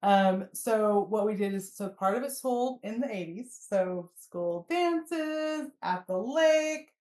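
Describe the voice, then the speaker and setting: A woman's voice: mid-range voice, lively intonation, slow pace, darkish tone. A woman with a mid-range voice hosts a podcast in an echoey room. She talks casually, explaining things and putting questions to her guests. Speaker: podcast host. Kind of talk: casual interview chat.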